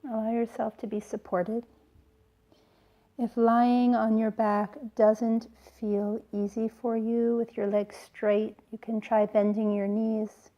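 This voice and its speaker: A woman yoga teacher leading a guided relaxation in a soothing, gentle way, with unhurried phrases and pauses. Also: mid-range voice, creaky, muffled recording. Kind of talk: slow guided relaxation instruction.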